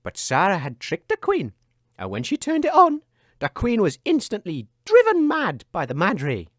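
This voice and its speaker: A man's voice: sits high, huge pitch swings, normal speed, no echo, clean studio sound, full character voice. An actor, a man, playing an earnest, theatrical storyteller narrating a children's fairy tale for comedy.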